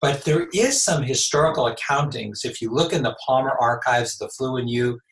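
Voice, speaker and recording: Raspy older man in an echoey room. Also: medium pitch, a bit bright. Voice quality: a little raspy. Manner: measured, explanatory. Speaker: chiropractor guest. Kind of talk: interview answers.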